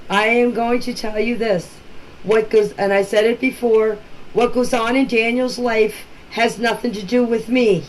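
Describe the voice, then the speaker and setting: An older woman talks informally and emphatically in a voice message, plain-spoken and often repeating herself. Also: fairly high pitch. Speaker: relative speaking about a missing-children case. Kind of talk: informal personal voice-message talk. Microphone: phone voice message. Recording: noisy amateur voice-message recording.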